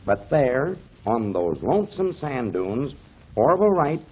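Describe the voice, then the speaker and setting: Kindly uncle type in a 30s radio drama, lively pitch, husky, muffled old recording. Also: male voice, a little slow. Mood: earnest storytelling. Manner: avuncular, explanatory, emphatic. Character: kindly grown man, storytelling mentor to children, pipe smoker. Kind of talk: 1930s radio acting.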